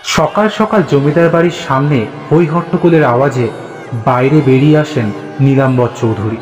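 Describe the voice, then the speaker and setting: A man narrating a scripted horror story in a dramatic, deliberate way. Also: medium pitch, a crowd in the background. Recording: echoey, reverberant, music behind.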